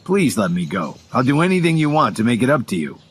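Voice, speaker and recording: A man's voice: mid-range voice, very animated, quick pace, slight background noise, natural voice. Man acting a grovelling, frightened underling. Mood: contrite, pleading. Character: contrite, frightened male underling begging for mercy. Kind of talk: audio drama acting.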